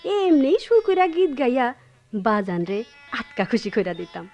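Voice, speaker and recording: Coy, lilting young village wife. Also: female voice, on the high side, very animated, moderate pace, a little mellow, faint music behind. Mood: playful, wistful. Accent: rural Bangladeshi. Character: tender, playful young village wife and mother. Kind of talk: Bengali radio play acting.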